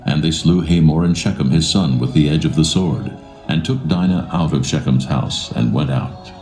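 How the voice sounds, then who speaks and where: A man narrating scripture in a dramatised reading, solemn and measured. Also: rumbling low. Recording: roomy echo, soft music behind.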